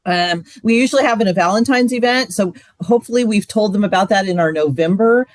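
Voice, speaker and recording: A woman's voice: medium pitch, brisk, no echo. A middle-aged woman giving a casual, chatty training talk with lots of fillers. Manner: chatty, loose, frequent fillers. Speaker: ministry trainer.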